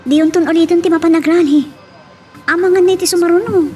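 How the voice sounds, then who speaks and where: A woman's voice: extremely high pitch, steady tone, brisk, darkish tone. A woman playing a caring, nagging young daughter in a radio-style drama. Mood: worried, scolding. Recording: faint background noise.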